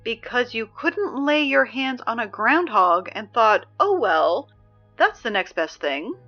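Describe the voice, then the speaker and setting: A woman's voice: fairly high pitch, big pitch swings, slow pace, highs cut off, soft background music, exaggerated voice. An actress playing a teenage girl who reads school announcements over the speakers, dry and exasperated. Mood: needling. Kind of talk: audio drama reading school announcements.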